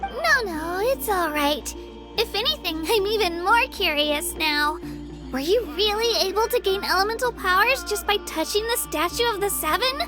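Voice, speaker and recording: A woman's voice: extremely high pitch, lively intonation, normal speed. A woman voicing a plucky, earnest young girl warrior in a video game, with a childlike voice and a polite, eager manner. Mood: curious. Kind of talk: English video game voice acting. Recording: game music behind the voice. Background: game music.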